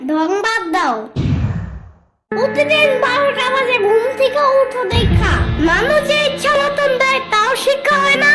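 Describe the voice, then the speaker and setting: A woman voicing a small, cheeky, easily spooked child in a comic cartoon, quick to scold back. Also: very high-pitched, lively intonation, loud music behind the voice. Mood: sassy, scolding.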